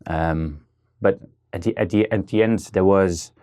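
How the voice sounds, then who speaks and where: A man's voice: low-pitched, measured pace, mellow. A man in his thirties with a Dutch accent, speaking as an interview guest in a hesitant way, with many fillers and restarts. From Netherlands. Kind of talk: casual interview talk.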